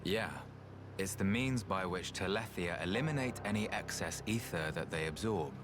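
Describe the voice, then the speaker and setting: Low-voiced, thoughtful researcher man acting. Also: natural voice. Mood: explanatory. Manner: unhurried, thoughtful, plain-spoken. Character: calm, reasonable male researcher, grave and weary. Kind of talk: video game cutscene acting. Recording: game music behind the voice.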